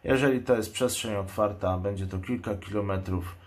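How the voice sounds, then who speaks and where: A man's voice: lowish voice, normal speed. A man giving an unscripted product presentation in speech, explaining things in a matter-of-fact way. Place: indoors at a table.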